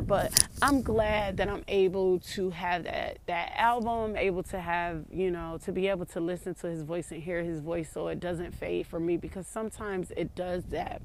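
A woman with a deep voice, reminiscing casually to her viewers in a heartfelt, rambling way. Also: a little mellow, slight background noise. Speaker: vlogger.

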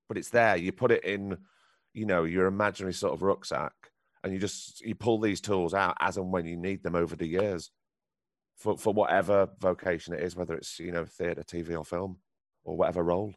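A man with a very deep voice, a professional actor answering a youth theatre group's questions with candid, encouraging advice. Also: steady tone, brisk, no echo, studio quality. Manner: candid, encouraging advice with frequent ums and restarts.

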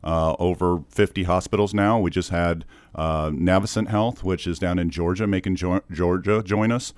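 A man's voice: deep voice, steady tone, normal speed, darkish tone, clean tone, no room sound, clean studio sound. A man in his forties who grew up in the Netherlands with Dutch as his first language, a podcast guest talking in a thoughtful, rambling way with restarts. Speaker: podcast guest, corporate communications leader. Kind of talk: casual interview chat.

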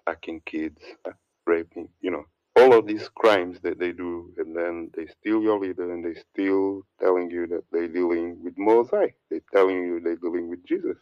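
A man's voice: normal speed, no room sound, muffled recording. A man giving a heated, rambling religious monologue, repeating words and lists as he preaches. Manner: preachy, rambling, repeats words, mocks others in a put-on high voice. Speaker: religious commentator.

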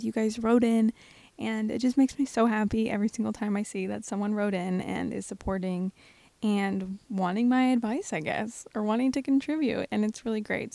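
A young woman hosting a casual advice podcast, her voice hoarse and raspy, chatting and laughing often while lying in bed at home. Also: mid-range voice, dark tone, dry recording. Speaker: podcast host.

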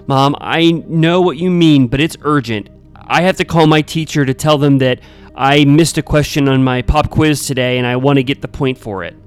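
A man as an earnest teen boy, raised pitch, eager, nervous, hurried, storybook character, faint music. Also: clean tone, lightly acted. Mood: urgent.

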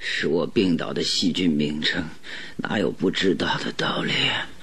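An actor playing a gravely ill, stubborn detective in a radio drama, hoarse and breathy, speaking feebly and haltingly but sternly. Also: male voice, extremely low pitch, fairly bright tone, exaggerated voice. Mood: feeble, resigned.

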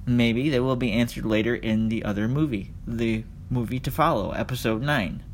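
A man hosting a casual solo podcast, speaking conversationally and addressing his listeners directly. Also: normal speed. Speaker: podcast host. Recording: noisy with low background rumble.

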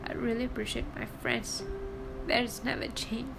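A woman in tears giving a halting, emotional personal message, repeating herself. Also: on the high side, very animated, creaky, over music. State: crying.

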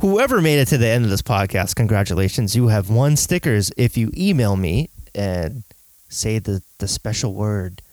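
A man's voice: fairly low pitch, very animated, normal speed, a little mellow, dry recording, low-quality recording. A man hosting a podcast, chatting casually in a nasal voice and rambling through lists. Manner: nasal, rambling list-making. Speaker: podcast host. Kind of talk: casual chat.

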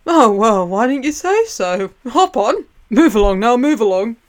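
A woman voicing a grumpy old male ferryman of the dead, loud, scolding and rude in a told myth. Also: on the high side, sing-song, unhurried. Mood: eager.

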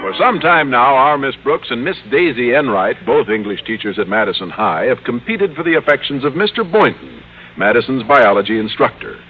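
A man's voice: mid-range voice, very animated, fairly quick, over music, natural voice. A man narrating an old radio comedy as a mock-grand, scripted announcer. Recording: dull vintage radio recording.

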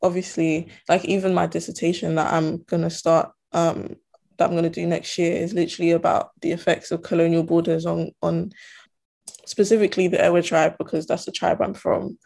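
A woman's voice: lowish voice, level tone, a little fast, vocal fry, darkish tone. A young woman from Ghana and Togo talking earnestly in a casual conversation, with many fillers and restarts. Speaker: student guest. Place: diaspora.

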